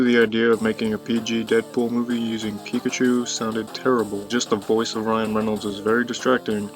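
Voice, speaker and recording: A young man giving a casual review voice-over in a blunt, slangy, profane manner. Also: mid-range voice. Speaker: YouTube movie reviewer. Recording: music bed behind voice. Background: background music.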